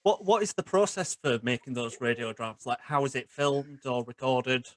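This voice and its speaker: A man's voice: medium pitch, normal speed, a bit bright, no room sound. Casual man hosting a chatty interview. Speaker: host, interviewer.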